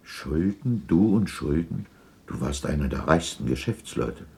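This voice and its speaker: A man's voice: a bass voice, expressive, measured pace, creaky, warm tone, full character voice. An actor playing an old miser, uneasy and hesitant as he questions spirits, in a 1960s German radio drama. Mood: disbelieving, uneasy.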